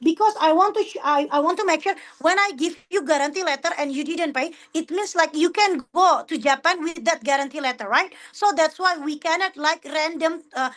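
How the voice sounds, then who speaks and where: A woman with a high voice speaks as an event organizer in an informal online question session. Her non-native English comes out haltingly, with phrases often repeated. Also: fairly bright tone. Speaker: organizer answering participant questions.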